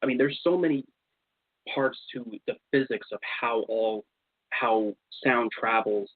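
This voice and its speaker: A man's voice: medium pitch, measured pace, slightly rough, dry recording. A man hosting a radio show, telling stories casually and animatedly, acting out what people said. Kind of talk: casual storytelling about investigations. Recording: slightly dull, lo-fi radio sound.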